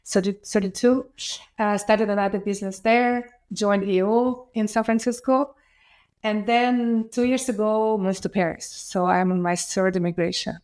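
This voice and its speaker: A woman's voice: medium pitch, fairly even intonation, unhurried, a little mellow. A woman in her thirties from Ukraine with a Ukrainian accent, an enthusiastic interview guest who talks casually with fillers and restarts. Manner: enthusiastic, many fillers and restarts, laughs often.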